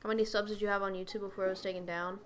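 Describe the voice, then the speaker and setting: Woman streamer, chatty, answering chat lines, jokes around, mid pitch. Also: little pitch movement, rapid-fire, soft background music. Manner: reads and answers chat aloud, chatty and joking. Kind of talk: casual live-stream chat.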